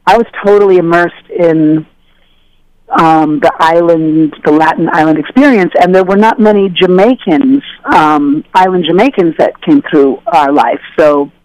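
A woman telling stories as an interview guest, animated and conversational, acting out the voices of people she quotes. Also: deep voice, measured pace, dry recording, low-quality recording. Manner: animated, acts out dialogue in voices. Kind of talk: casual conversational storytelling.

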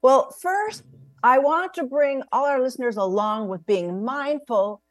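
A woman's voice: high-pitched, unhurried, no room sound. Woman hosting a radio interview. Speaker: host. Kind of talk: formal interview introduction. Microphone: video call.

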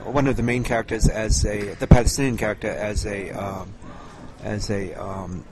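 A man hosting an informal interview, asking questions haltingly as he searches for words. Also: fairly low pitch, level tone, crowd noise. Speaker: interviewer and show host.

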